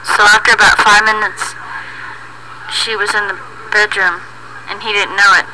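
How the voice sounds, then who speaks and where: A woman's voice: mid-range voice, little pitch movement, moderate pace. Woman narrating, noisy homemade recording. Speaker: narrator and sole performer. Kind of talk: storytelling narration of an audio play.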